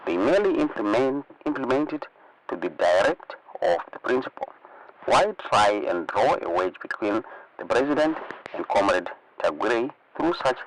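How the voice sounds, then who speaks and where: A man's voice: medium pitch, fairly bright tone, recorded from a distance, poor audio. A Zimbabwean man whose native language is Shona, presenting online news commentary and reading reports aloud.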